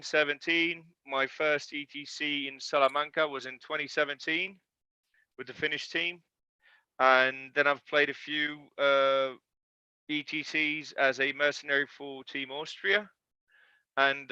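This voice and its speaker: A man's voice: a little high, bright, forceful tone, smooth, no echo, lo-fi sound. A man chatting casually on a podcast, stumbling and repeating his words as he goes. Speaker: podcast co-host. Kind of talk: casual chat.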